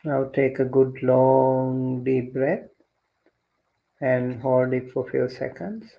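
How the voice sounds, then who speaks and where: A man guides a meditation in a very slow, even voice with little rise or fall. His tone is mellow and creaky, and he gives gentle instructions with long pauses. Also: mid-range voice, low-quality recording. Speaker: meditation teacher leading guided meditation.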